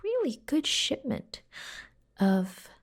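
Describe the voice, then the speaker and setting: A woman playing a caring, teasing secretary in an ASMR roleplay, speaking softly and gently, often dropping to a whisper. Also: high-pitched, huge pitch swings, slight background noise, exaggerated voice. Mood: teasing, coaxing. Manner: slow, gentle, playful, intimate. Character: caring, teasing, devoted young female secretary fussing over her boss. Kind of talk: soft-spoken ASMR roleplay.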